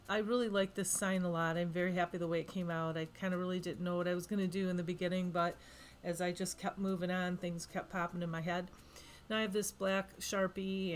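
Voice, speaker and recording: A woman's voice: on the low side, a little hiss or hum. A woman with a cold, losing her voice, giving a rambling, chatty voice-over of a craft project, correcting herself often. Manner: chatty, rambling, self-correcting with frequent ums. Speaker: craft vlogger.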